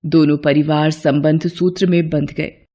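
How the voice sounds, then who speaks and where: A woman's voice: sits low, flat delivery, measured pace, mellow, a little hiss or hum. A woman giving scripted narration of a devotional moral story.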